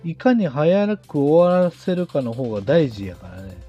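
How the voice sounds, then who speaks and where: A man's voice: on the high side, sing-song, normal speed, lo-fi sound. A middle-aged man with a Kansai accent, chatting casually in a blunt, matter-of-fact way as a guest on a live talk show. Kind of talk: casual chat. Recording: background music under the voice.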